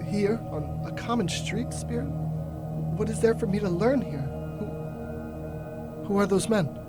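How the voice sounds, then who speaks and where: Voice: mid-range voice, lively intonation, slow pace. Young performer acting old repentant miser, theatrical, stammering, radio play, music behind. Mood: fearful, mournful. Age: young adult.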